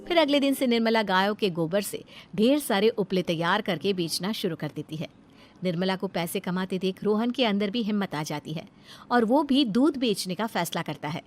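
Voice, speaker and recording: A woman's voice: mid-range voice, animated, normal speed. A woman reading scripted narration for a cartoon family story as its storyteller.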